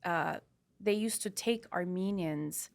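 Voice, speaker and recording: A woman's voice: lowish voice, takes it slowly, creaky. A woman hosting a podcast interview, chatting casually and encouragingly, often restarting her sentences. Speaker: podcast host.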